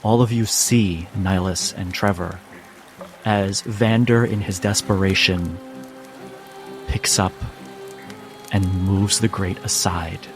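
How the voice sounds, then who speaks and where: Low-voiced man narrating dramatically over music. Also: fairly even intonation. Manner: deliberate, short phrases with pauses. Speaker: game master. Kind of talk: dramatic tabletop game narration. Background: music and rain sounds.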